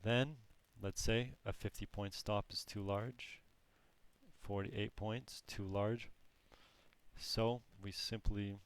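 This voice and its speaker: A hoarse man with a low, gravelly voice teaches a trading lesson. He talks very slowly and haltingly and often restarts his sentences, sounding strained from losing his voice. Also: fairly even intonation. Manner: slow, halting explanation with frequent restarts. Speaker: trading course instructor. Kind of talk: screen-recorded trading lesson.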